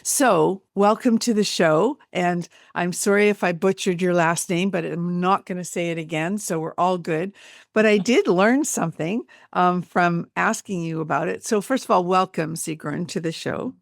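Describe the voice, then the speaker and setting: Gravelly North American woman host. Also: lowish voice, moderate pace, no room sound, studio quality. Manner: chatty, warm interjections, filler-heavy. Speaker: podcast host. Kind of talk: podcast interview.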